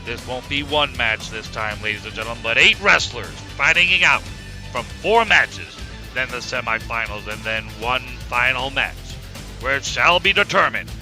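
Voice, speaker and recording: A man's voice: mid-range voice, vocal fry, bright, forceful tone, full character voice, poor audio. A man voicing a brash, showy Viking who presents a scripted cartoon wrestling show. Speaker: commentator, host.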